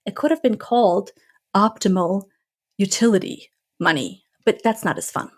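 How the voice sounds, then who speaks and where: A woman money coach, a native Norwegian speaker, talking as a guest in a casual podcast interview, lively and playful, often acting out little scenes. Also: mid-range voice, normal speed.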